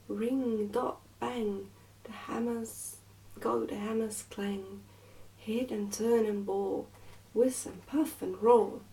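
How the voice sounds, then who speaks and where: A merry, fearless young miner boy from a storybook, voiced by a woman reader. The delivery is confident and sing-song, with a mellow tone and some creak at the edges, a little away from the mic. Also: mid-range voice, steady tone, slow, recorded from a distance, rough recording. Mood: merry chanting. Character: cheerful, fearless twelve-year-old miner boy who sings.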